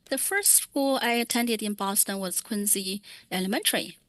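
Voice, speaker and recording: A woman interpreting children's remarks from Mandarin into English at a public meeting, in measured, plain phrasing. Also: medium pitch, fairly bright tone, no room sound.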